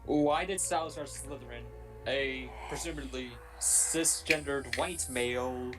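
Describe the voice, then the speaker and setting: A man's voice: mid-range voice, a little slow, music in the background. A man giving dry, mocking comedy commentary over a film. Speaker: comedy riffer. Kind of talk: joking fan-dub commentary over a film.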